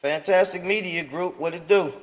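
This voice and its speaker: A man's voice: sits high, normal speed, long reverb tail, faint music behind, low-quality recording. A man hosting a live show, talking loosely in slang with lots of fillers and restarts. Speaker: podcast host. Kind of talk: casual live show chat.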